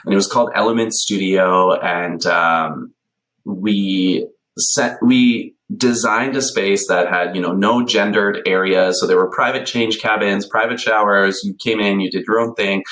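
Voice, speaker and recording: A man in his thirties, a podcast guest telling his story in a relaxed, animated way, with plenty of ums, on a video call from a small bright room. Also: lowish voice, unhurried, poor audio. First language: English. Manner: animated, gesturing a lot, many ums. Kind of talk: casual conversational storytelling. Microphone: headphones on a video call.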